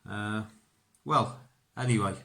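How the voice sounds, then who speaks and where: A man with a fairly low-pitched voice, a native speaker of English, works as a bushcraft instructor. He explains practical craft steps casually and informally, thinking aloud as he goes. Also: slow pace, vocal fry, pushed and bright. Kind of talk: casual hands-on craft tutorial.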